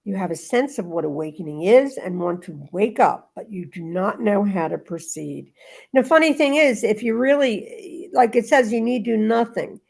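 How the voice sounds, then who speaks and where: A woman's voice: medium pitch, vocal fry, a little mellow. A woman talking casually and reading aloud in a spiritual discussion group, in a rambling, emphatic way that circles back on itself.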